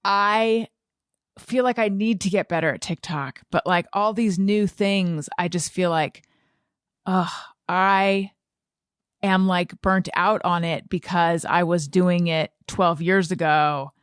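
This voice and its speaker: A woman's voice: fairly low pitch, steady tone, takes it slowly, smooth, no echo, studio quality. A woman podcast host chatting casually in a rambling, self-deprecating way, with "like" sprinkled throughout. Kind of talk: casual chat.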